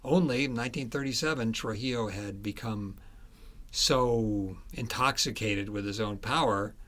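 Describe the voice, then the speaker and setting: Low-voiced male author telling stories. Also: moderate pace, a bit bright. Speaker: interviewed author. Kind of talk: conversational storytelling in an interview.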